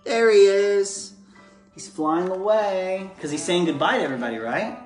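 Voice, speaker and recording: Man, thirties, American accent, father reading bedtime stories and talking to viewers; gentle, encouraging delivery, roomy home recording. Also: high voice, normal speed. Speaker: father and children's book author reading aloud. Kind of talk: bedtime story reading and casual chat to viewers. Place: living room at home, indoors. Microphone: camera or phone propped just beyond arm's length. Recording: echoey living room, music behind.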